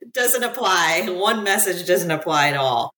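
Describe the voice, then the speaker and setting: A woman's voice: fairly low pitch, animated, slow pace, sharp tone, rough recording. An American woman from the United States talking as an interview guest in a chatty way, with many fillers and easy laughs. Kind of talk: casual interview conversation.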